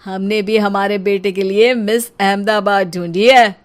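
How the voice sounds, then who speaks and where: A woman's voice: medium pitch, steady tone, measured pace, natural voice. A woman voicing a nosy, smug neighbour in a cartoon, speaking pointedly.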